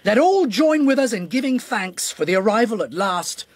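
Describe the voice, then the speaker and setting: A man's voice: sits high, very animated, moderate pace, no echo. An actor playing a scheming rival king in a historical radio drama, courtly, insinuating and falsely gracious. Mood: grandly gracious.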